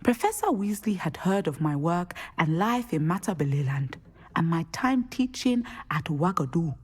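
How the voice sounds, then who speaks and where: An actress playing a wise, dignified Ugandan divination professor, formal and deliberate with dry amusement, in game dialogue. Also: female voice, fairly low pitch, expressive, slightly rough. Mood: dignified, gently amused.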